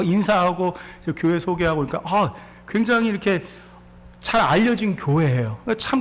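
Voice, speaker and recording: Lively, high-voiced preacher man. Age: 40s. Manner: storytelling with laughter and rhetorical questions to the congregation. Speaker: pastor. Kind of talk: sermon.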